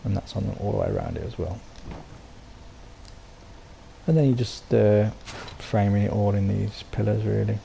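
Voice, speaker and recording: A man with a sore throat and a croaky voice, casually talking through how he built something, rambling with lots of ums and restarts. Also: lowish voice, big pitch swings, moderate pace, pauses often, warm tone, a little hiss or hum, poor audio. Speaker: game settlement builder. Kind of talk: casual voice-over walkthrough.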